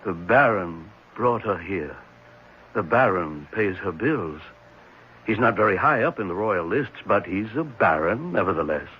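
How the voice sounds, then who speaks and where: A man's voice: sits low, lively intonation, measured pace. A man playing an assured, inquiring sanitarium doctor in a 1970s radio drama. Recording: dull, slightly noisy 1970s radio recording.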